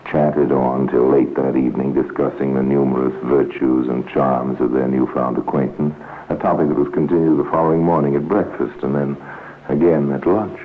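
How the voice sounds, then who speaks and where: A man narrating a 1950s radio crime drama in a grave, wry, deliberate manner. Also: a bass voice, flat delivery. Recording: tinny, noisy 1950s radio recording.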